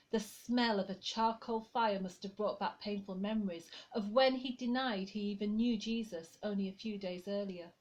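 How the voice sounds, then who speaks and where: A woman reading a written church reflection aloud in a gentle, conversational way. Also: medium pitch, rough recording. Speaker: lay reader.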